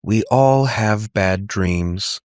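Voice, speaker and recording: A man voicing a caring aunt in an audiobook story, speaking in a gentle, reassuring, concerned way. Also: on the low side, extremely slow, no room sound, clean studio sound. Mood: tender. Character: caring, gentle aunt comforting her young niece.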